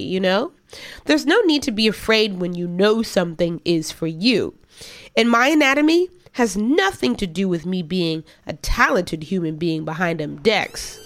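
A woman in her late twenties presents her podcast with a stuffy, congested nose from a cold. Her pitch rises and falls widely as she reads her scripted narration. She also works as a DJ. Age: late 20s. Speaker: podcast host and DJ.

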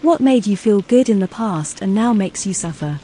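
Name: Antonia. Figure: soft, consoling young woman, voiced by a male narrator. Tone: mellow, high. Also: expressive, normal speed, slight background noise. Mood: tender. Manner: soft, tender, soothing. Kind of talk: audiobook character voice.

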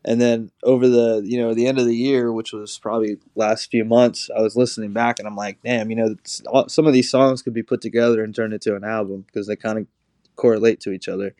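Low-voiced laid-back male musician guest. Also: fairly quick, dry recording, clean studio sound. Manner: relaxed, uses fillers like um and you know. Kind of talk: casual interview chat.

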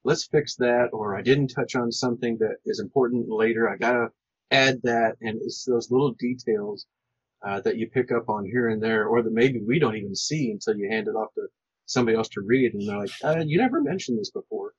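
A man's voice: mid-range voice, fairly quick, slightly rough, no room sound, muffled recording. A man hosting a podcast, chatting casually and agreeably about writing as a fellow writer.

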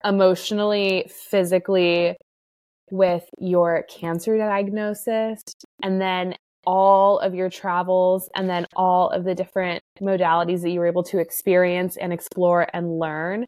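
A woman hosting a podcast, asking her guest questions in a chatty, quick, conversational way. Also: lowish voice, level tone, darkish tone. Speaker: podcast host. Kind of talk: casual interview chat.

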